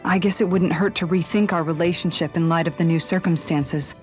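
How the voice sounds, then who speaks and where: A woman's voice: fairly low pitch, fairly even intonation, a little fast, a little mellow, lightly acted. A woman playing a dutiful, composed young former palace guard in a video game, speaking earnestly and considerately. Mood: thoughtful. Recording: music underneath. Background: background music.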